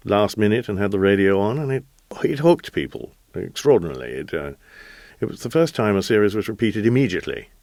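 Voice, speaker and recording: A man's voice: sits low, dry recording. A man reminiscing in an interview in a wry, self-deprecating way.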